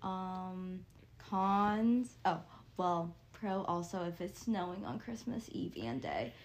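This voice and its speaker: A woman's voice: lowish voice, lively intonation, takes it slowly. Easygoing young woman co-host. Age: young adult. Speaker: podcast co-host. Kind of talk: casual chat.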